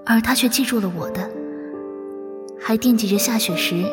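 A woman playing a gentle, caring young disciple in a Chinese martial-arts audio drama. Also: on the high side, moderate pace, over music, lightly acted. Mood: moved. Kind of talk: Chinese period radio drama acting.

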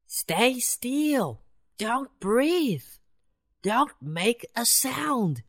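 A woman voicing a small, lively city mouse in a children's storybook, very high and squeaky. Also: very slow, heavily acted. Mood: hushed. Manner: very high, excited, bossy whisper-to-squeal.

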